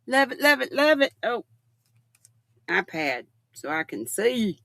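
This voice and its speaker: A woman's voice: a little high, very animated, takes it slowly, background noise. An older woman, hosting a casual livestream and chatting with viewers. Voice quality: gravelly. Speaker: livestream host. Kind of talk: casual chat with live viewers.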